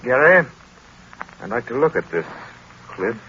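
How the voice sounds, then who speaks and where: A man playing a shrewd, urbane gentleman detective, composed and inquisitive, with polished deliberate delivery in old radio drama style. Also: mid-range voice, very animated. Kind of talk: 1930s radio drama acting. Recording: muffled, noisy old radio recording.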